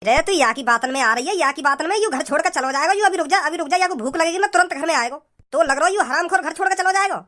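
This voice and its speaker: Irate cartoon village dad, shrill pitch, fast delivery, Braj accent, scolding and dismissive. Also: lively intonation, bright, forceful tone. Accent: Braj, western Uttar Pradesh. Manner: gruff, dismissive, nagging repetition. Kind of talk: comedy cartoon dialogue.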